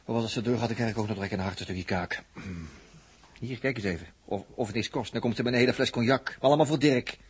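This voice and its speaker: A man's voice: mid-range voice, fast talker, breathy edge. An actor in a 1960s Dutch radio drama playing a hungry, talkative crewman who rambles and exclaims colloquially. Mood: hungry, marvelling. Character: hungry, amused, talkative male crewman watching others.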